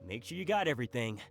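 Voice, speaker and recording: An actor playing a jovial, teasing, generous spice merchant in a video game, with a hearty, jokey way of talking. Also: male voice, mid-range voice, creaky. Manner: hearty, jokey, chuckling talk.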